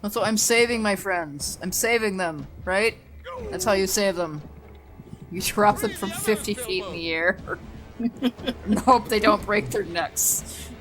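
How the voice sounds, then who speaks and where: A woman's voice: mid-range voice, measured pace, a bit bright, soft background music. A woman commenting casually on a game she is playing, sarcastic and swearing often, laughing a lot. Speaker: let's play host.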